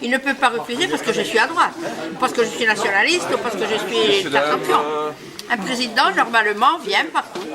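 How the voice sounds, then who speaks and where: A woman speaking as a local official in a press interview, plain-spoken, in short emphatic phrases. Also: a little high. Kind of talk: press interview, spontaneous statement. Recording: loud crowd chatter behind the voice. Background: many people talking.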